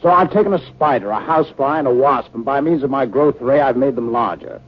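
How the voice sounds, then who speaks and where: Husky, impatient scientist husband, old radio. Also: male voice, medium pitch, lively intonation, a little fast. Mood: enthusiastic, teasing. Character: eager, impatient young scientist husband, dismissive of fears. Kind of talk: 1950s radio drama acting. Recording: muffled 1950 radio recording.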